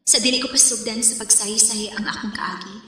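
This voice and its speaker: Husky young Filipina radio drama heroine. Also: female voice, mid-range voice, a little fast, sharp tone, no echo, studio quality. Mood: earnest. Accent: Cebuano Filipino. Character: Rain, hurt young woman, nursing student telling her story.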